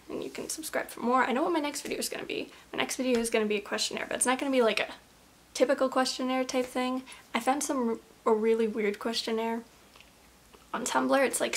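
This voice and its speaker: A young woman chatting to her camera in a small room, rambling and giggling self-consciously, her voice a little raspy from allergies, recorded on the camera's own mic. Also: high voice. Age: young adult. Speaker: vlogger. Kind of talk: casual chat to camera.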